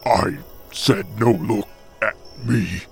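A man playing a hulking, dim monster of few words in a radio drama, speaking in halting, broken phrases with a gravelly voice. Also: fairly low pitch, soft background music. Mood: grumpy. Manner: halting, clipped broken speech.

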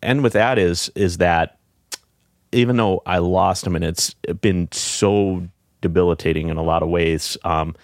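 A middle-aged man with a deep voice, speaking in a reflective, halting way with many fillers and restarts, as a guest in a casual heartfelt conversation. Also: steady tone, no room sound, clean studio sound. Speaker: podcast guest.

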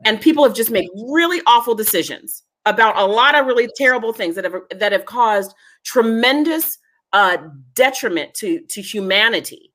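A woman's voice: on the high side, animated, moderate pace, a bit bright. Earnest woman who repeats key points. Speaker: genealogy foundation guest. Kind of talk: conversational interview.

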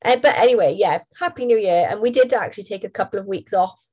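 A woman, co-hosting a podcast in casual chat while nasal and hoarse from a cold. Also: mid-range voice, fast, darkish tone. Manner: chatty, laughs often. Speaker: podcast co-host.

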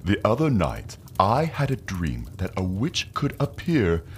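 A man's voice: low-pitched, wildly swooping pitch, a little slow, breathy edge, heavily acted. An actor playing a boastful, credulous Puritan goodman in a comic audio drama, speaking solemnly with archaic diction. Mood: self-satisfied.

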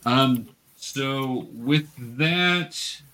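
A man's voice: medium pitch, pushed and bright, low-quality recording. A man patiently guiding a tabletop role-playing game as game master, encouraging players and often restarting his phrases. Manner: encouraging, patient, halting with self-repeats.

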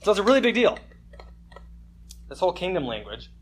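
Pastor giving a relaxed, joking sermon. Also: male voice, high voice, fairly quick, a little hiss or hum. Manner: casual and joking, with emphatic scripture reading. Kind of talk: conversational sermon. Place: church service with slides.

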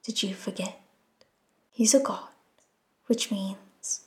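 A woman voicing an icy, menacing male demon who speaks softly and quietly. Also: fairly high pitch, takes it slowly. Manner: soft cold whisper.

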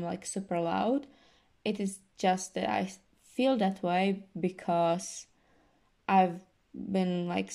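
Slow, gravelly-voiced young woman. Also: lowish voice. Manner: slow, soft-spoken, many fillers and restarts. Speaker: podcast host. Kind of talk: casual self-help talk.

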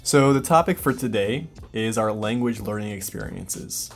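A man from the United States hosting a casual language-learning podcast, explaining things patiently with clear examples. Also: fairly low pitch, animated, normal speed, soft background music. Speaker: podcast host. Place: lives in Brazil.

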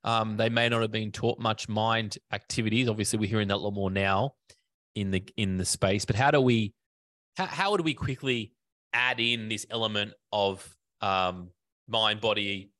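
A man's voice: on the low side, normal speed, a bit bright, no echo. Guy hosting a fitness podcast, chatty, lots of ums, dry close sound. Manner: rambling, many fillers and restarts. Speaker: podcast host. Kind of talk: casual interview.

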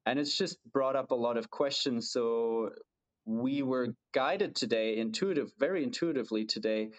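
A man's voice: mid-range voice, moderate pace. A man from Germany for whom English is a second language, co-hosting a podcast in a thoughtful way with frequent restarts. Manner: thoughtful, hesitant restarts, self-deprecating laughs. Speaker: podcast co-host. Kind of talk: casual podcast chat.

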